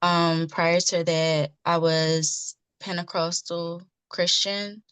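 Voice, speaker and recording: A woman's voice: lowish voice, flat delivery, slow, clean tone, dry recording. A woman in her thirties introducing herself on a video call, hesitant and full of ums. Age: 30s. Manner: hesitant, many ums, soft-spoken. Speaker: participant.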